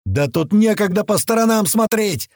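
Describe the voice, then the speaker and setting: A man voicing an agitated male fighter in an audiobook, yelling in exasperation as if into a radio. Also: sits high, lively intonation, normal speed, no room sound, studio quality, voice pushed up. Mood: exasperated, alarmed.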